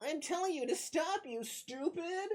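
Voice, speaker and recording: A woman voicing an excitable young boy student, devoted and easily panicked, in whiny exclamations. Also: very high-pitched, unhurried.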